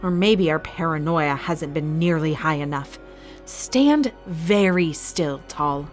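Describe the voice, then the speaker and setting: A woman's voice: deep voice, slow. A woman acting as a calculating, ruthless fight-arena master in an audio drama, speaking in a formal, clipped, authoritative way. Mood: menacing, suspicious. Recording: music bed under the voice.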